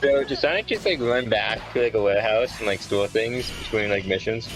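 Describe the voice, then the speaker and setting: A man's voice: fairly low pitch, fairly quick, low-quality recording. A man chatting casually over a video game, arguing his case point by point. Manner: argumentative, point-by-point reasoning. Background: game music.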